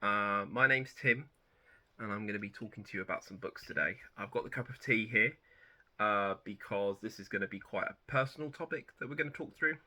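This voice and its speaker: A man in his thirties hosting a book channel, chatting casually about personal favourites in a relaxed, rambling way that often corrects itself. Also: on the low side, brisk. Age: 30s. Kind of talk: casual personal chat to camera.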